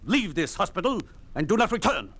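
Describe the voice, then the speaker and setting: A man playing a cold, dogmatic communist hospital doctor in a 1950s radio drama, lecturing in a pompous, measured way. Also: sits high, big pitch swings, heavy background noise. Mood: cold, authoritarian. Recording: vintage 1950s radio broadcast.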